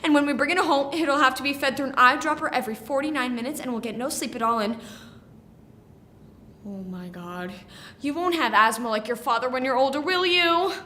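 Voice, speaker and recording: Woman playing a chattering, high-voiced young expectant mother. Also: a little fast, bright, forceful tone. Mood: anxious chatter. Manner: rambling, naive, earnest chatter. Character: chattering, dreamy, pregnant young Irish bride-to-be. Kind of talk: theatrical stage monologue.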